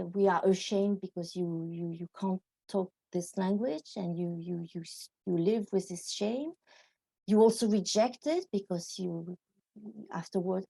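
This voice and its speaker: A woman with a French accent speaking as a guest journalist, halting and searching for words with many restarts. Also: fairly low pitch, vocal fry, mellow, breathy edge, dry recording, rough recording. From France. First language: Vietnamese. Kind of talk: reflective panel conversation.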